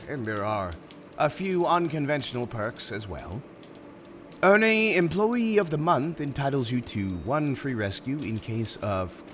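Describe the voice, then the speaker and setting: A man's voice: medium pitch, a bit bright, slight background noise, close to an everyday voice. A man playing an urbane, smug supervillain in an ASMR roleplay, speaking in a courteous, theatrical and emphatic way. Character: urbane, smug male supervillain interviewing a prospective minion.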